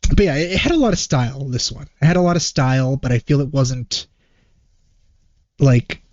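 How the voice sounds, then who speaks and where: Muffled, mellow man thinking out loud. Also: mid-range voice, animated, normal speed, no room sound. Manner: hesitant, rambling, thinking out loud. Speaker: reaction video host. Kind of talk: casual reaction commentary. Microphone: wrong microphone, close and dry. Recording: dull, muffled lo-fi microphone.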